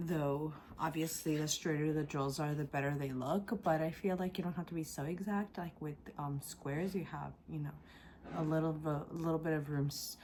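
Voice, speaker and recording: A woman hosting a casual craft unboxing vlog, her voice raspy and congested from a sore throat, speaking hesitantly with many ums. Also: deep voice, darkish tone, a little hiss or hum. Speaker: craft channel host.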